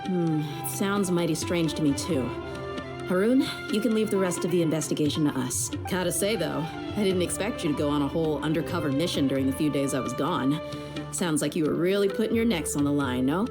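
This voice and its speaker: A woman's voice: low-pitched, a little fast, music in the background, close to an everyday voice. An actress playing a tough, frank female mercenary leader in a game, easygoing and teasing. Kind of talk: video game cutscene dub.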